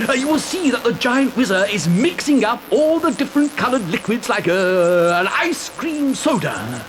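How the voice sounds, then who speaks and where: A high-pitched, gleeful and eccentric factory owner, stammering and chuckling as he shows off. His delivery is theatrical and swings wildly in pitch, in a children's audio play. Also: big pitch swings, moderate pace, over music. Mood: gleeful, boastful. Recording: noisy old cassette recording.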